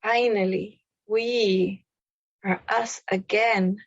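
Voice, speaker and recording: Slow-paced woman guiding a meditation. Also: mid-range voice, steady tone, dry recording, muffled recording. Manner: gentle, deliberate short phrases with long pauses. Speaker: meditation guide. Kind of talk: guided meditation, spoken slowly.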